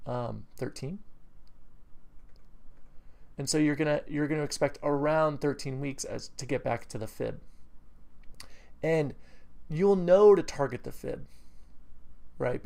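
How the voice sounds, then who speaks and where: A man's voice: mid-range voice, gravelly, a little mellow. A man with a New England accent talking through a trade review over his charts, rambling with many fillers and self-corrections, breaking into enthusiastic bursts. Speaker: trading educator. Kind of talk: screen-recorded trade review.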